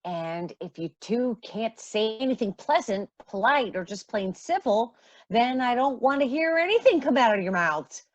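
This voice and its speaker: A woman playing a firm mother in a radio play, admonishing in a measured way, with slightly muffled sound. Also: fairly high pitch, animated, dry recording. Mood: stern. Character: Marmee, firm mother keeping peace among teenage daughters.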